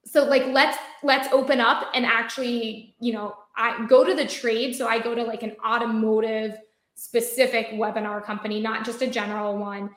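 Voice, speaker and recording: A woman's voice: on the high side, steady tone, moderate pace. An American woman talking as a guest in an interview, chatty, full of fillers and restarts. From United States. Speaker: marketing guest. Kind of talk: conversational interview. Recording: roomy echoey sound.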